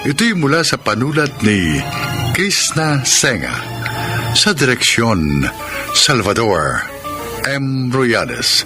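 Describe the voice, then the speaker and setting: A man's voice: mid-range voice, wildly swooping pitch, slow, music in the background. A man with a Filipino accent reading scripted radio drama credits as the announcer.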